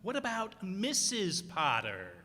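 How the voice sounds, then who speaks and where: An actor playing a nervous, skeptical navy sidekick in a radio play, with hesitant, stammering speech. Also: male voice, sits high, huge pitch swings, slow pace, bright, forceful tone, exaggerated voice. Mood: awkward, wry. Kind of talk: stage radio drama acting. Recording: reverberant hall with faint noise.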